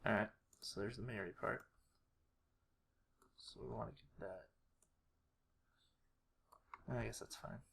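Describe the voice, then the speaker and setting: Someone giving an informal editing walkthrough, talking haltingly and muttering to themselves while working. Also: mid-range voice, fairly even intonation, vocal fry, a bit bright. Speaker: tutorial creator. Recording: noisy home recording, keyboard and clicks. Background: mouse clicks and keyboard typing.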